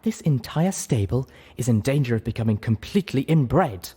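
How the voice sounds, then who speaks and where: A man's voice: medium pitch, animated, normal speed, dark tone, breathy edge. A man voicing an exasperated, scolding adult female pony in an argument, as part of a story reading. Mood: argumentative. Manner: huffy, scolding.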